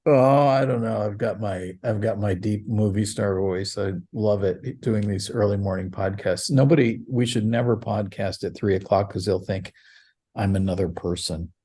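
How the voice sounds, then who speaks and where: A man's voice: fairly low pitch, normal speed, slightly rough. A middle-aged man co-hosting a casual podcast, rambling with frequent fillers and laughs. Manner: rambling, warm, laughs between words. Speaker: podcast co-host.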